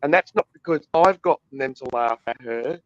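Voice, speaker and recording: A man's voice: medium pitch, normal speed, no room sound. Indignant man, muffled dry sound. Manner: indignant, swears often, complains at length. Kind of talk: heated casual chat.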